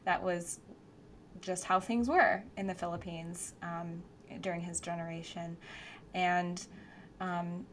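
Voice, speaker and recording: A woman's voice: fairly low pitch, little pitch movement, slow pace, slight background noise. A woman from the United States, co-hosting a podcast and speaking in a thoughtful, reflective way with many fillers. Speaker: podcast co-host, therapist. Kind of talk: casual podcast conversation.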